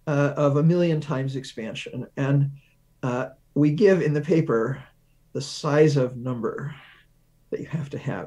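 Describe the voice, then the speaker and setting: A man giving a measured, deliberate explanation as an expert guest in a science interview, stressing key words. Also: a little high, darkish tone. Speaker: guest research professor, physicist.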